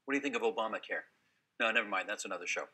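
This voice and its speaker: Husky, fast-talking male panel host. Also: on the low side, pushed and bright. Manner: brisk, quick follow-up questions. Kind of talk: panel interview.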